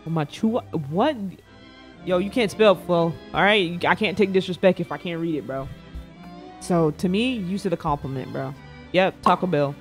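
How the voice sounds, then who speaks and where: A man's voice: high-pitched, normal speed. A young man livestreaming a casual reaction, bantering playfully with chat in slangy, informal speech. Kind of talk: casual reaction stream commentary. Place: indoor room at a streaming setup. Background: faint background music.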